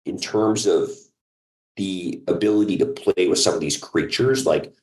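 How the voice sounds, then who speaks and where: A man with an extremely deep voice talks keenly as an interviewed creator. The sound is roomy and echoing, and he checks in with the listener as he explains. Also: measured pace. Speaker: audio drama co-creator. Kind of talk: interview chat.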